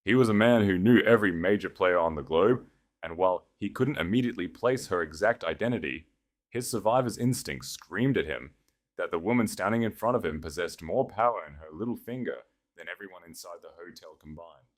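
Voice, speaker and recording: A low-voiced man narrates a dramatic romance story. His delivery is scripted audiobook storytelling. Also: animated, brisk.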